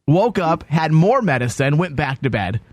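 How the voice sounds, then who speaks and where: A man hosting a comedic chat show while sick, his voice stuffy and nasal. He rambles and jokes in a lively, up-and-down voice that sits a bit high for a man. The sound is close and dry. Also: dry recording. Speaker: podcast host.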